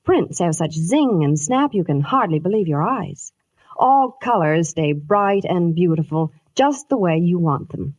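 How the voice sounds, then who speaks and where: A woman's voice: lowish voice, lively intonation, unhurried, darkish tone, no echo. A woman reading scripted Hollywood news and sponsor copy in a 1950s radio style. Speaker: Hollywood reporter and sponsor pitchwoman.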